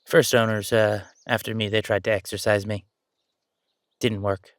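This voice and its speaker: A man's voice: lowish voice, flat delivery, normal speed, no echo, natural voice. A man playing a chatty, easygoing ghost who died at thirty-five, rambling casually with wry asides in an audio roleplay. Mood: dismissive, amused. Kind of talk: conversational audio roleplay monologue.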